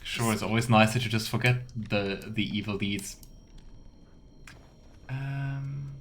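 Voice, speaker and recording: A man's voice: fairly low pitch, takes it slowly, a bit bright, a little hiss or hum. A man giving casual let's play commentary and reading game text aloud, musing and wryly sarcastic. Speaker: game streamer.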